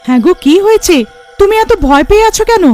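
A woman's voice: very high-pitched, lively intonation, quick pace, dark tone, faint music behind. A woman voicing a frightened young village wife in a cartoon, anxious, pleading and dramatic. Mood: alarmed. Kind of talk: cartoon voice acting.

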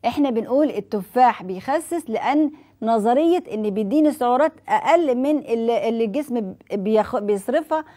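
A woman's voice: on the high side, lively intonation, fairly quick, warm tone. A woman in her forties with an Egyptian accent, a doctor explaining diet advice on a TV studio set, asking and answering her own questions. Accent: Egyptian Arabic. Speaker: nutrition doctor hosting a TV health show.

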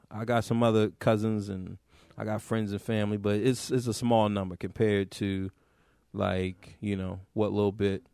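A man from Baltimore hosting his own podcast, talking casually in a loose monologue that repeats and restarts and climbs in pitch when he presses a point. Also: vocal fry, darkish tone, no echo, clean studio sound. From Baltimore, USA. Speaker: podcast host, teacher.

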